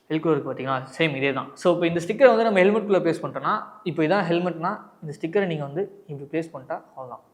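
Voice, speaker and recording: A man with an Indian Tamil accent presenting an unboxing and product review, explaining directly to viewers and mixing in English words. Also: on the high side.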